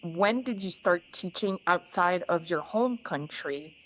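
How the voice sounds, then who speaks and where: A woman's voice: fairly low pitch, expressive, a little slow, low-quality recording. A woman hosting a podcast, talking in a chatty, enthusiastic, casual way. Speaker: podcast host, pole studio owner. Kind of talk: podcast interview.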